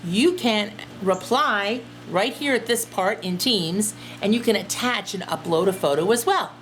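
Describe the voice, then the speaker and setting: A woman teacher reading a storybook aloud and chatting directly to young listeners in an expressive way. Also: medium pitch, unhurried, slight background noise. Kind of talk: children's storybook read-aloud and chat.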